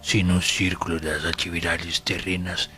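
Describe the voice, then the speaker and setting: An actor playing a humble, wise, fatherly spirit minister in a Brazilian audio drama, speaking solemnly and gently with a Brazilian accent. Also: sits low, little pitch movement. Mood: didactic, solemn. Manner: solemn, gentle, measured, paternal. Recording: voice over background music.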